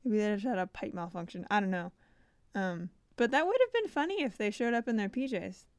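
A woman co-hosting a casual fan podcast, relaxed and a little hesitant, giggling now and then. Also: medium pitch, fairly quick, clear, resonant tone, no room sound, studio quality. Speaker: podcast co-host.

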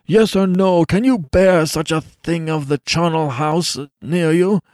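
A man's voice: fairly high pitch, fairly even intonation, unhurried, a little mellow, no room sound, studio quality, pitched above the performer's own voice, natural voice. A man voicing a disfigured, anguished young husband who speaks in a mournful, pleading way.

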